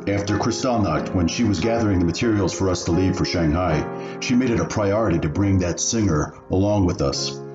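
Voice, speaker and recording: A man acting an elderly refugee recalling his mother in a measured, reflective way for a radio play. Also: low voice, muffled recording, music in the background, natural voice. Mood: fond, reflective.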